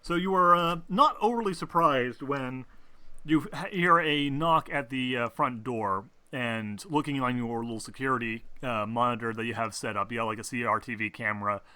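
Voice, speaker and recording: A man narrating a tabletop roleplaying game as game master, in an informal, halting, sweary way. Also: medium pitch, sharp tone, clean tone, no echo.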